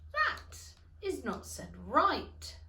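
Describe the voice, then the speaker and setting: A woman voicing a curt, contradicting caterpillar in a storybook, speaking in a slow, drawn-out, languid way. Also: high-pitched, huge pitch swings, pushed and bright, voice pushed up, heavily acted. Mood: stern. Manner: drawn-out, deliberate, languid delivery. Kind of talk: storybook character reading. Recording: echoey room.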